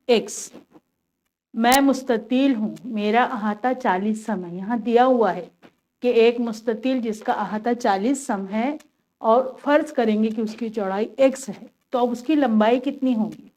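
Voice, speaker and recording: Woman, maths teacher, patient, step-by-step explanation, slightly high voice. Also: a little mellow. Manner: patient, step-by-step, asks and answers her own questions. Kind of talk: classroom lesson, explaining worked examples.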